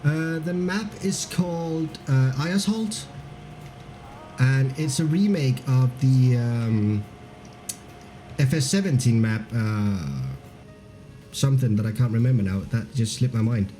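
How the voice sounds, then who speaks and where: A man's voice: medium pitch, slow pace, dark tone, soft background music. A Danish man with a mixed accent that is hard to place, streaming a game live and chatting loosely with viewers, full of fillers and restarts. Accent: mixed, hard to place, with a Danish base. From Denmark. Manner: reads viewer comments aloud and laughs easily.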